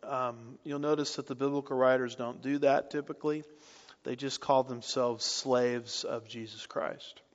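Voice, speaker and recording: A man teaching through a Bible book in a deliberate way, with rhetorical questions and light asides. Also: medium pitch, steady tone. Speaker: pastor. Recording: dull audio with long hall reverb.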